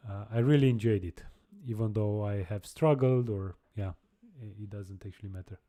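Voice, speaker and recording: A young man chatting casually on a live coding stream, halting and restarting his sentences as he answers viewers. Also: on the low side, warm tone. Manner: halting, many restarts and repeated words.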